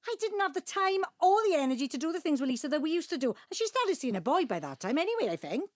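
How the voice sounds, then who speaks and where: A very high-pitched, distraught daughter. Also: female voice, sing-song, fast talker, no echo, clean studio sound. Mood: defensive. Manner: pleading, distraught. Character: defensive, guilt-ridden adult daughter hiding a secret. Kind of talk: emotional audio drama dialogue.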